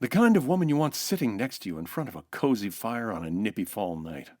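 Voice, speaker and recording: Man playing a very animated old-time announcer. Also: lowish voice, quick pace. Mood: dreamy, smitten. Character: smitten, dreamy male old-time radio announcer. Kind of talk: 1940s radio announcing.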